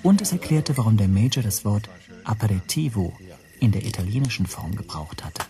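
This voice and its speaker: An actor playing a reserved hotel guest who narrates a radio drama in the first person, measured and understated. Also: male voice, fairly low pitch, animated, crowd noise. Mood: composed, observant.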